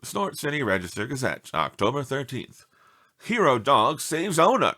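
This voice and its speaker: A man's voice: medium pitch, big pitch swings, normal speed, sharp tone. A man reading a storybook aloud as scripted narration, in an emphatic newsreader manner. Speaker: storybook reader.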